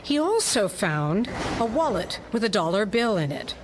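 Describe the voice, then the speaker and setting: A woman's voice: mid-range voice, big pitch swings, moderate pace, background noise. A woman reading scripted, dramatic narration for a hardboiled detective radio story.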